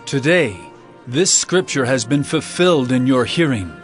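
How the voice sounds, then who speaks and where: A man playing a solemn, authoritative preacher with measured, earnest, declamatory speech in a dramatized scripture reading. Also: mid-range voice, expressive, faint music behind, close to an everyday voice. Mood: proclaiming.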